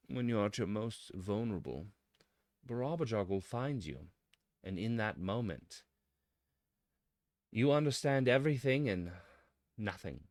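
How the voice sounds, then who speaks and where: A man delivering a scripted mock-academic monologue in a pompous, philosophical manner with dramatic pauses. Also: medium pitch, no echo, clean studio sound. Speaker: presenter of a mock-scholarly essay.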